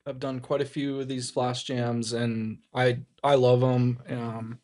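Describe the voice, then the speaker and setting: Male guest musician, casual and easygoing, halting short replies. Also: mid-range voice, flat delivery, dark tone. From Colorado. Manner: easygoing, hesitant, short answers. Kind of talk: casual interview chat.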